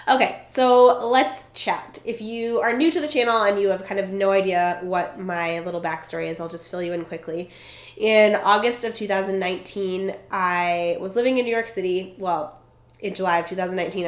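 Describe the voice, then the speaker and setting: A young woman vlogger talks casually to the camera, her nose stuffed up and her voice a little froggy from a cold. She sounds chatty and bubbly and strings thoughts together with lots of fillers. The room around her has a noticeable echo. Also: mid-range voice. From Vermont. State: stuffed up, congested. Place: indoors at a relative's home. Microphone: camera in front while seated. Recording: roomy echo.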